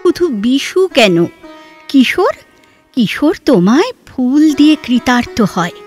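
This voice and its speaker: Composed, gently teasing young heroine, high, unhurried and expressive, audio drama with music. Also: female voice. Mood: probing. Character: poised, restrained, literary young woman, gently teasing. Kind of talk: Bengali audio drama acting. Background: background music.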